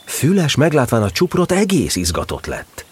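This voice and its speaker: A man's voice: mid-range voice, wildly swooping pitch, a little hiss or hum. A man narrating a scripted children's story as part of an audio drama.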